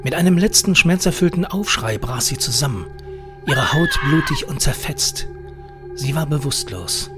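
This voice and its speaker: A man reading a short horror story as narrator, measured and dramatic. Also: on the high side, expressive. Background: eerie horror music.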